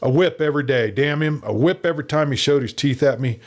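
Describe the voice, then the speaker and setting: A man voicing a cruel, excitable cowboy outlaw. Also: fast, lightly acted. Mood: excited, cruel. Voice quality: squeaky tenor.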